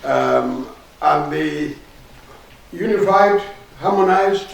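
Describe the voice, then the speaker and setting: A man giving a measured, explanatory answer as an official at a formal workshop. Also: on the high side, gravelly. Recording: echoey room, distant mic, faint noise.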